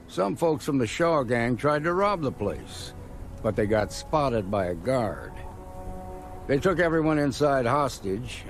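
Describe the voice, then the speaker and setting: Creaky-voiced, weary frontier marshal. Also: male voice, medium pitch, full character voice. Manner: blunt, folksy lawman. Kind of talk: video game voice acting.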